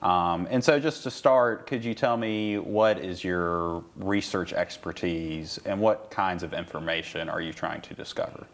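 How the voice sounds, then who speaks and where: A man's voice: sits low, a little slow, few pauses. A man hosting a university interview show, asking upbeat, enthusiastic questions. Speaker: host.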